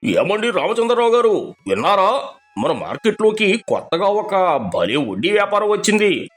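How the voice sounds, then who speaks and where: A man voicing a boastful, mocking moneylender in an animated moral story, with a sneering manner. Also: on the high side. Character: cocky, mocking moneylender friend, a boastful schemer.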